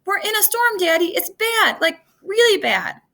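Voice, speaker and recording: A woman's voice: very high-pitched, big pitch swings, normal speed, vocal fry, a bit bright, voice pushed up, full character voice. A woman voicing an anxious, insistent teenage girl in a storybook, speaking urgently and pleadingly. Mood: panicked, pleading.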